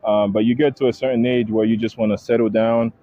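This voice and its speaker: A man's voice: mid-range voice, level tone, quick pace. A young man from Haiti, a travel content creator, chatting casually as an interview guest with lots of fillers and repeated words. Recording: dull lo-fi sound, faint noise.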